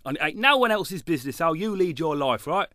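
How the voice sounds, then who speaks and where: A man's voice: on the high side, animated, fairly quick, bright, forceful tone, a little hiss or hum. A man playing a randy, cheeky male dog in a comedy radio play, talking in a brash, laddish, slangy way. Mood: eager, cheeky.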